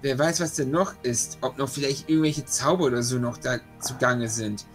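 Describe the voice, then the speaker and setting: A man's voice: medium pitch, fairly even intonation, normal speed, faint music behind. A man speaking casually as a player in a tabletop role-playing session. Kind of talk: casual game chat.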